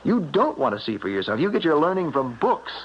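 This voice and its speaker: Earnest, animated small-town believer, vintage radio. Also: male voice, a little high, a little fast. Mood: insistent, challenging. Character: superstitious small-town young man, earnest, stubborn, in love. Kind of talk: 1970s radio drama acting. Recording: dull, noisy old radio broadcast recording.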